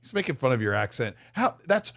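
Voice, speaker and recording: A man hosting a casual gaming podcast, reading viewer super chats with quick, upbeat thank-yous. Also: medium pitch, big pitch swings, vocal fry, fairly bright tone.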